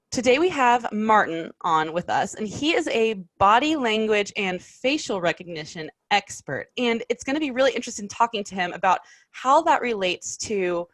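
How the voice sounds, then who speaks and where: A woman co-hosting a podcast interview in a chatty, friendly way, her voice a little raspy and low from the early hour. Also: no room sound. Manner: chatty, frequent laughs and affirmations. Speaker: podcast co-host.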